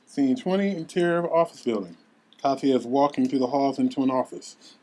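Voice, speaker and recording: Guy reading out stage directions for a table read, medium pitched voice. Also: a little fast, darkish tone, poor audio. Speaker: narrator of a script table read. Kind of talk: scripted narration of stage directions.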